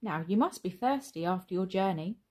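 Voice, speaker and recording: A woman's voice: fairly low pitch, animated, fairly quick, a little mellow. A woman voicing a kind-hearted, decisive mother in a children's story, caring and full of exclamation. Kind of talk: children's storybook read aloud.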